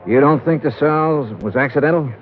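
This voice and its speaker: An American man playing a cool, tough investigator in a vintage radio detective drama, terse, deadpan and sardonic. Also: fairly high pitch, a little fast, close to an everyday voice. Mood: terse, guarded. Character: cool, wry, tough freelance investigator narrating his case. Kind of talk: 1940s-50s radio detective acting and scripted narration. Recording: tinny old radio recording with music.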